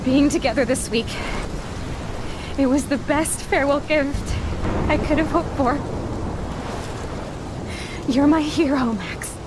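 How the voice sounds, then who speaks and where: A woman's voice: high-pitched, takes it slowly, long pauses. An actress playing a tough, loving young punk woman with an American accent, blunt and earnest in an emotional video game drama. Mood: tender, tearful. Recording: loud storm, rain and thunder behind. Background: rain, thunder, wind.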